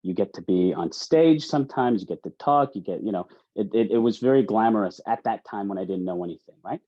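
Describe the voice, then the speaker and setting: A man's voice: fairly low pitch, a little fast. A man in his thirties with a Canadian accent giving casual, chatty interview answers, often repeating himself. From Ontario, Canada. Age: 30s. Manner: chatty, repeats words and phrases. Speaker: mortgage specialist, interview guest. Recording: dull lo-fi audio.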